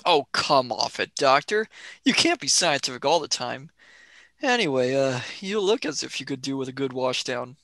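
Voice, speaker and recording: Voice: mid-range voice, lively intonation, moderate pace, a bit bright, dry recording. A performer playing a cheeky, impatient young male adventurer in a radio play, breezy and argumentative. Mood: teasing. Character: cheeky, impatient young man, time-travelling companion.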